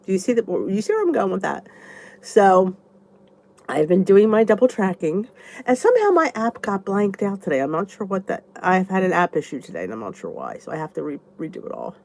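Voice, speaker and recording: Congested woman with a lively, animated voice. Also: mid-range voice, a little fast, vocal fry. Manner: chatty and rambling, thinks aloud. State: congested, stuffy nose. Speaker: vlogger. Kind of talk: casual live chat answering viewer comments.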